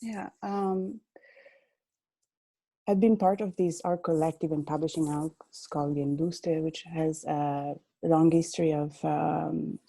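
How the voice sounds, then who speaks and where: A woman's voice: sits low, steady tone, measured pace, creaky, mellow, dry recording. A woman from Italy, a gallery owner and artist answering interview questions hesitantly on a video call. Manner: hesitant, many fillers.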